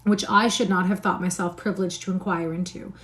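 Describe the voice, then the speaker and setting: A woman's voice: on the low side, fairly even intonation, brisk, lightly acted. A woman voicing a self-important married lady who speaks emphatically. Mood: pompous. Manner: emphatic, then muttering.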